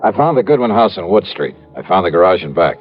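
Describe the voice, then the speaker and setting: Cool-headed radio detective, muffled vintage sound. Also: male voice, low-pitched, sing-song, fast talker, soft background music. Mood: tense, wry narration. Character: quick-witted, cool-headed male insurance investigator. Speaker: lead actor and narrator of a radio detective series. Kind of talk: 1950s radio acting, first-person crime narration.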